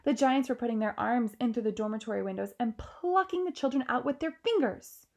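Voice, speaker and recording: A woman's voice: a little high, brisk, creaky, voice pushed up. A woman reading a storybook aloud, voicing an elderly queen with refined, exclamatory speech. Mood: alarmed, refined. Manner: refined, exclamatory, posh phrasing. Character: elderly queen, grand, easily alarmed.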